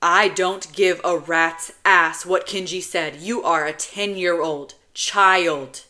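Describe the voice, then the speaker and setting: A woman's voice: on the low side, measured pace, fairly bright tone. A woman voicing a blunt, protective young male soldier and older brother in an audiobook. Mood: stern, angry. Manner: stern, blunt, commanding.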